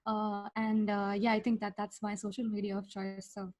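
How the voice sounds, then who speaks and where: A woman's voice: mid-range voice, little pitch movement, normal speed, darkish tone, no room sound. A woman, chatting casually and effusively as a podcast guest giving recommendations. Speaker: guest, fiction podcast creator. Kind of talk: casual enthusiastic recommendation chat.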